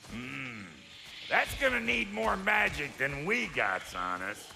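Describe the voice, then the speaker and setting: A man voicing a crude, cranky dwarf craftsman in a video game, brash and folksy with slangy grumbling and a gravelly voice. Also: a little high, sing-song, takes it slowly, background noise, heavily acted. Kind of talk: video game character acting.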